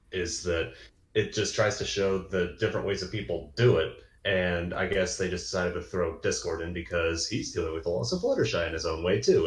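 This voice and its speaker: Low-voiced man in a roomy space. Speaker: reaction show panelist. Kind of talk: casual review discussion. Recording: roomy, echoing sound.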